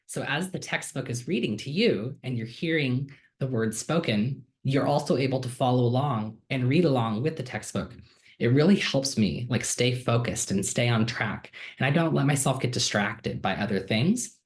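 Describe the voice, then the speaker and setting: A man's voice: mid-range voice, expressive, normal speed. A man, a student, giving a casual and enthusiastic screen-share walkthrough of a digital textbook feature, with frequent ums and uhs. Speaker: student giving a software demo.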